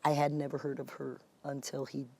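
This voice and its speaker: A woman musician telling her story in a relaxed interview, animated and chatty, calling people "man.". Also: extremely low pitch, a little fast, creaky, a little hiss or hum. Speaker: guest musician and vocalist. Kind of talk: casual interview chat.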